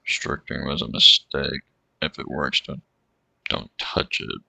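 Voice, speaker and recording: A man's voice: very deep voice, level tone, measured pace, creaky, sharp tone, breathy edge, no room sound. A man reading a visual novel's dialogue aloud and adding casual remarks as he plays. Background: game music.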